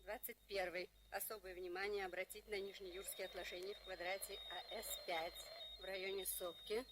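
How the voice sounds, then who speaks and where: A woman's voice: medium pitch, little pitch movement, a little fast, natural voice. A woman playing a businesslike radio operator in a spy drama, dictating coded messages in a clipped, official, impersonal way. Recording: very noisy old TV series soundtrack.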